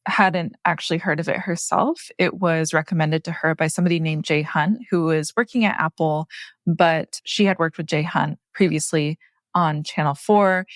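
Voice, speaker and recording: A woman's voice: low voice, dry recording, clean studio sound. A woman who produces the podcast, casually retelling a story in a chatty way that is full of fillers. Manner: chatty, uses many fillers like "like".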